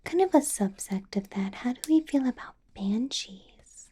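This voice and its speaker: A possessive, money-minded vampire boss played by a woman murmurs in a breathy whisper, slow and unhurried. The tone is soft and mellow, coaxing and doting, in the close style of an ASMR roleplay. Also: a little high, animated. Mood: musing, coaxing.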